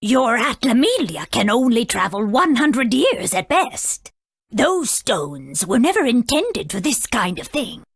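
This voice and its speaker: An actress playing a proud, aged female sage in a video game, husky, formal and haughty, stern yet kindly. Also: fairly high pitch, very animated, measured pace, dry recording, heavily acted. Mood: grave, explaining.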